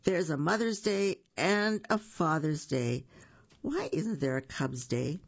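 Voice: mid-range voice, animated, slow, husky, muffled recording, full character voice. A reader as a complaining bear cub boy.

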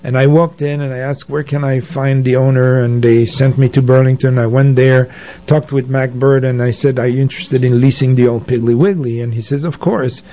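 An older man telling anecdotes as an interview guest, in a halting, conversational way. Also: mid-range voice, fairly even intonation, dark tone. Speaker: interview guest, arts organizer. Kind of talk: conversational reminiscing.

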